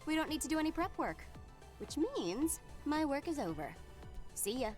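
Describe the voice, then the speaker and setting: Lively, breezy young slacker woman. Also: high-pitched, normal speed, over music. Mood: breezy, smug. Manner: nonchalant, playfully smug. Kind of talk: video game dub acting.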